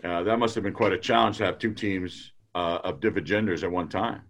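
A man's voice: low-pitched, brisk, creaky, fairly bright tone. A man hosting an interview in a casual way, friendly and a little hesitant, with many fillers. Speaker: podcast host.

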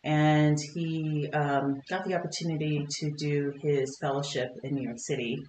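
A middle-aged woman from Kentucky, a podcast guest, talking in a warm, chatty way with frequent laughs and fillers. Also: low-pitched, steady tone, normal speed, reverberant, slight background noise, poor audio. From Kentucky, United States. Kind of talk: conversational interview. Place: podcast studio.

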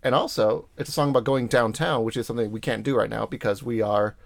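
A young man with a Boston accent hosts a relaxed podcast chat. His voice sits at an ordinary middle pitch. He talks in an encouraging, coaching way, full of casual fillers. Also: quick pace, creaky, no room sound. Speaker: podcast host.

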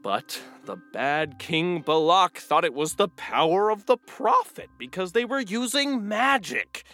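An actor playing a small, clumsy, boastful young donkey who tells stories excitedly in a children's audio drama. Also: mid-range voice, unhurried, soft background music. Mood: confident storytelling. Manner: exuberant, self-assured, chatty.

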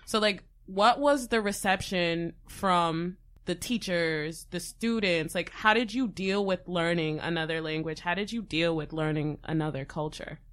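A woman in a casual chat, animated and asking the others questions. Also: fairly low pitch, measured pace, few pauses.

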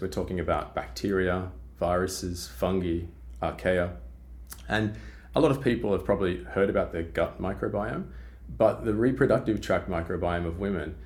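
A middle-aged man from Australia with an Australian accent softened by years in Britain, explaining research in a podcast interview with frequent fillers and restarts. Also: sits low. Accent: Australian with British influence. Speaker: guest scientist.

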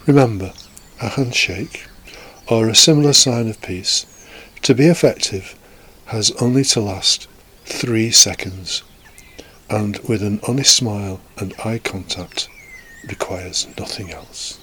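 A man with a bright, clear-cut tone and a slightly low pitch reads a scripted reflection as a preacher. His delivery is earnest and measured. There is faint noise behind the voice. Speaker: preacher giving a podcast homily.

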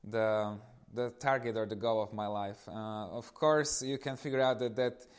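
A man in his thirties from eastern Slovakia, with a Slovak accent, speaks informally to an audience as a guest giving a personal testimony, telling his stories in an animated way. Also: fairly low pitch, normal speed, clear, resonant tone.

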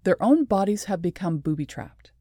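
A woman presenting a scripted explanatory talk in a measured, deliberate and articulate way. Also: fairly low pitch, sing-song, dark tone, dry recording, clean studio sound. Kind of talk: scripted explanatory narration with read quotations.

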